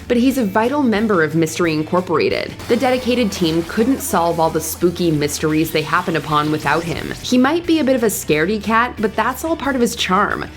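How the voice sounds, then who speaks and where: Woman, low voice, scripted countdown narration, host, music underneath.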